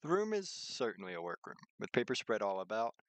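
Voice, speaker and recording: Man, game streamer, lowish voice, dry close sound, reading story narration aloud, casual asides. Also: big pitch swings, fairly quick, fairly bright tone, dry recording.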